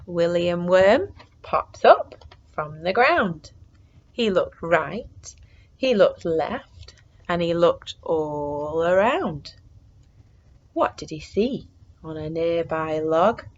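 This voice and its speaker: A woman reading a picture book aloud to young children in an expressive way, moving between hushed suspense and excited exclamation. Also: fairly low pitch, extremely slow, lo-fi sound.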